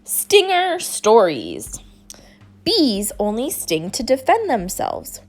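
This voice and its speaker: A woman reading a children's book aloud in an expressive, scripted narrating style. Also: fairly high pitch, takes it slowly, faint music behind. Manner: expressive, acts out dialogue. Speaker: storybook reader.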